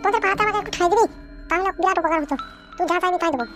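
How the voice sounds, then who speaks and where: A woman's voice: very high-pitched, racing. A woman voicing a boastful but helpful, childlike ghost in a cartoon comedy, with an exclamatory delivery. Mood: pleading. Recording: music behind the voice.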